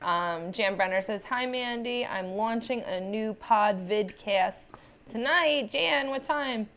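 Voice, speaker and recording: A woman's voice: on the high side, takes it slowly, a bit bright. A young adult woman comedian from the United States, hosting a casual live chat show, reading viewer comments and laughing often. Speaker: comedian podcast host.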